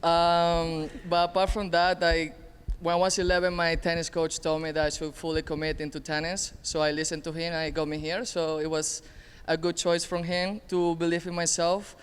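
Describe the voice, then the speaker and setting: Crisp-voiced young man from Venezuela. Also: a little high, little pitch movement, normal speed. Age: 20s. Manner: earnest, slightly nonstandard grammar. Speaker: assistant tennis coach. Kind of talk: casual panel introduction. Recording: reverberant hall.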